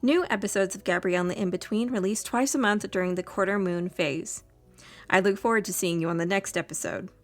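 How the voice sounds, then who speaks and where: Woman podcast host reading scripted outro, mid-pitched. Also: fairly quick, soft background music. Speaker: podcast writer, reader and host.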